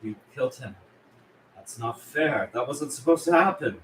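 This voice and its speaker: Mid-pitched male actor as whiny, nervous villain, roomy sound with faint noise. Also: lively intonation, normal speed, lightly acted. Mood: petulant, shocked. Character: jittery, petulant male accountant villain. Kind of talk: script table read. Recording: echoey room with faint noise.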